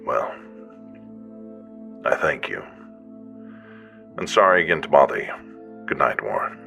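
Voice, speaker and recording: A man playing a polite, smooth-talking business associate with a courteous, measured manner in a superhero audio drama. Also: extremely low pitch, expressive, bright, forceful tone, soft background music, exaggerated voice. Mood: courteous, probing.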